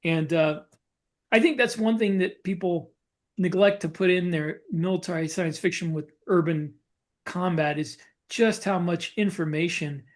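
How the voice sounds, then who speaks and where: A man's voice: high voice, measured pace. A man co-hosting a writers' podcast, explaining things in a casual panel discussion. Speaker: podcast co-host and military science fiction author.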